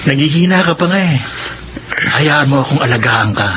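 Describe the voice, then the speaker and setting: A man's voice: a little high, expressive, normal speed, bright, forceful tone, a little hiss or hum. An actor playing a caring, loyal male friend in a Filipino radio drama, coaxing and affectionate. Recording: slightly dull audio, music bed.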